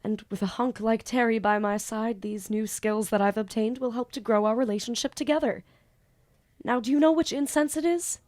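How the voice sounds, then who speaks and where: A woman's voice: fairly high pitch, steady tone, moderate pace, darkish tone, lightly acted. Woman as an eager teenage magician. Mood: flippant, eager. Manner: casual teen banter, theatrical wordy narration. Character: eager, flippant teenage student magician, lovestruck and anxious. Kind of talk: audio drama acting and first-person narration.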